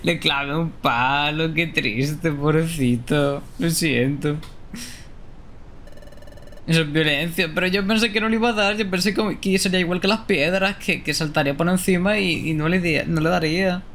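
A man's voice: a little high, moderate pace, a little hiss or hum. A young man giving casual live gameplay commentary as a streamer. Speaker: game streamer. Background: loud game music.

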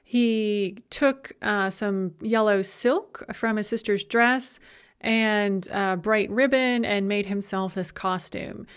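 A woman's voice: medium pitch, takes it slowly, smooth. A middle-aged woman giving a recorded university lecture in a conversational way, with frequent filler sounds.

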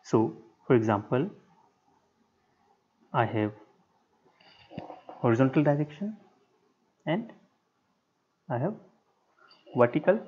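A man giving a structural engineering lecture over a whiteboard screen recording, speaking in short, halting, measured phrases. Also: medium pitch, sing-song, husky. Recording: dull lo-fi sound.

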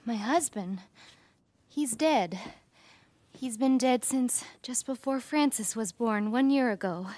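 A young female actor playing a guarded, polite widowed mother in a video game, speaking in a measured way. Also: on the high side, a little mellow, no echo. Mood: wary, sad. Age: young adult. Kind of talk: video game character acting.

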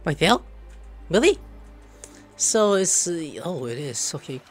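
A man's voice: on the high side, big pitch swings, slow. A man streaming a video game, commenting casually and reading dialogue aloud. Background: game music.

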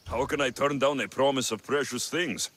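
An actor playing a confident, wry mercenary warrior of ancient Greece, dry and teasing, in video game dialogue. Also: male voice, medium pitch, normal speed, fairly bright tone, slight background noise, lightly acted.

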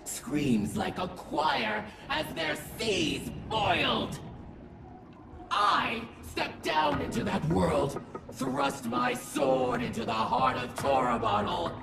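A menacing alien goddess of war for a video game, grieving and vengeful. The delivery is theatrical and rasping, with pitch that ranges widely. The voice sounds distant and echoey, with music clearly underneath. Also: female voice, sing-song, heavily acted. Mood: triumphant fury. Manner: grandiose, slow, theatrical, swinging from whispers to shouts. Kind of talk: dramatic game audio-log monologue.

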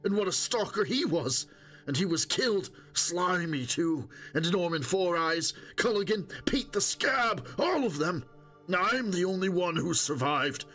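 A man voicing a wounded, wheedling old stalker in an audiobook, boastful and desperate, with a hoarse, strained, pleading voice. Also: sits high, animated, measured pace, fairly bright tone, soft background music, pitched above the performer's own voice, exaggerated voice. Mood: boastful, insistent. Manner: pleading, moaning, insistent.

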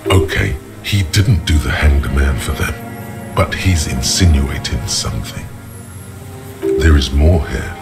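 A detective's inner voice in a video game, knowing and ominous. It is extremely deep and gravelly, deliberate in its narration, with music beneath. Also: male voice, animated, full character voice. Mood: ominous, insinuating. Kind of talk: literary second-person game narration. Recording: music under the voice.

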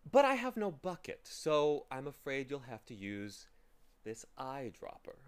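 A man voicing a happy, polite storybook gentleman who cheerfully hands out pointless tasks with drawn-out, courteous emphasis. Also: mid-range voice, huge pitch swings, clear, resonant tone. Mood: explaining, fussy. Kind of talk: storybook character voice.

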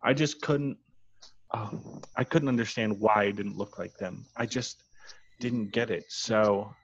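A man reads the part of a self-conscious woman journalist, earnest and easily exasperated, in a comedy script. The voice is low and husky, with halting delivery, over muffled video call audio. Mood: hesitant confession. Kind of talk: comedy script table read.

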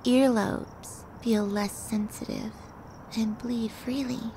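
A woman's voice: on the high side, fairly even intonation, slight background noise, poor audio. A woman playing a flirty, teasing, confident vampire in an intimate audio roleplay spoken to the listener. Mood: amused, reassuring. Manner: playful, teasing, unhurried delivery with soft breathy asides.

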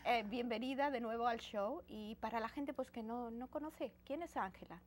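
A woman hosting a TV interview show, upbeat and quick to laugh, in a small studio. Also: a little high. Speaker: TV show host. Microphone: lapel mic.

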